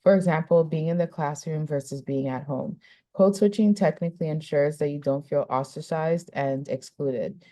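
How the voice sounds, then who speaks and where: A young woman hosts a podcast interview, reading out study summaries in a measured way and then asking friendly questions. Her voice sits low for a woman, with a dark, mellow tone. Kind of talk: podcast interview, partly read from a script.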